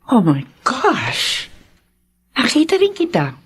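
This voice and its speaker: A woman's voice: a little high, huge pitch swings, takes it slowly, slight background noise, exaggerated voice. A woman with a Filipino accent acting a well-off, emotional middle-aged mother in a Tagalog radio drama. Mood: excited, polished.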